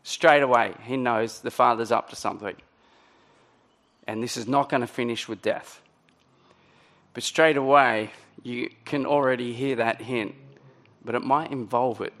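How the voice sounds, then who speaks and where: A middle-aged man preaching a sermon in a conversational way, his voice a little croaky. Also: mid-range voice, steady tone, unhurried, long pauses, a bit bright. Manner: conversational, many ums and restarts. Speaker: pastor.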